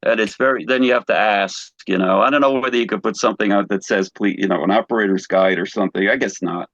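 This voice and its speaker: A man asking questions casually on a video call in a halting way, often restarting his words. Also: on the low side, fairly even intonation, a bit bright, no echo. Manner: hesitant, halting, repeats word starts. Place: online video meeting. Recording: dull lo-fi video call audio.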